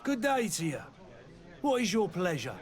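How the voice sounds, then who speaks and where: Blunt innkeeper, aggrieved, indignant, slightly high voice, game dialogue. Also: male voice, very animated, normal speed. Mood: gruffly civil. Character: aggrieved, blunt male tavern keeper wanting repayment. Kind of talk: scripted video game dialogue.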